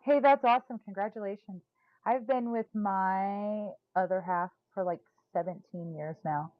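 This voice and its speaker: A woman in her forties chats loosely with her viewers while reading tarot, dropping "like" often and laughing between thoughts. Her pitch sits in an ordinary middle range. The sound is narrow and tinny, like a phone line. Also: moderate pace, dry recording. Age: 40s. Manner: chatty, laughs often, lots of "like". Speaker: tarot reader hosting a live sale stream. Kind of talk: casual livestream chat.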